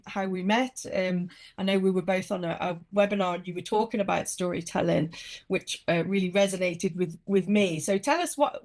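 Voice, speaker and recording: A woman hosting a podcast interview, chatty and upbeat, with frequent ums and easy laughter. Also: mid-range voice. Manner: warm, laughs often, many ums. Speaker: podcast host. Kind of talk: casual interview chat.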